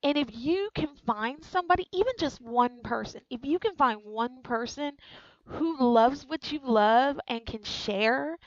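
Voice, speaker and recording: A woman's voice: sits high, lively intonation, unhurried, no echo, muffled recording. A woman in her twenties, speaking frankly and earnestly about her own life, repeating key lines for emphasis. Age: 20s. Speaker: channel host. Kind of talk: personal testimony to camera.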